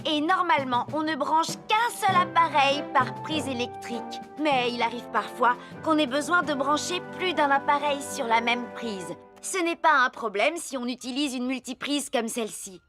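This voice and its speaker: A woman's voice: high-pitched, expressive, normal speed, few pauses. An earnest cartoon girl. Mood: explaining. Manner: earnest, explanatory. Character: sensible young girl, careful and earnest. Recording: cartoon dub with music bed.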